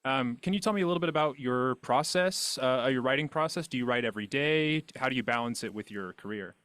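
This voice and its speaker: A man's voice: medium pitch, a little fast, a bit bright, clear, resonant tone, no room sound. A man hosting a podcast, interviewing a guest in a casual, conversational style. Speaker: podcast host interviewing an author.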